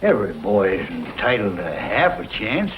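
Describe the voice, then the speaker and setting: An actor playing a kindly, folksy old rancher in a 1940s western style. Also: male voice, fairly low pitch, sing-song, normal speed. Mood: earnest pleading. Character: kindly folksy old rancher who takes in stray boys. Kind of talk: 1940s western film acting. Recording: muffled, hissy old western soundtrack.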